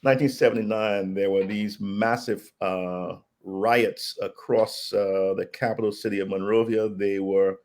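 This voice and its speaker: Middle-aged man from Liberia, very low gravelly voice, reflective interview guest who pauses often. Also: steady tone, darkish tone. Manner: thoughtful, measured, frequent uh fillers. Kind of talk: reflective conversational storytelling.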